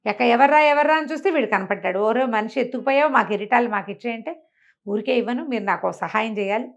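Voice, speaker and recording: A woman telling a folk tale in an animated, conversational way, acting out the characters' exclamations. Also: on the high side, fast, vocal fry, dull audio. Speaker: storyteller. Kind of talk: animated oral folk storytelling to a listener.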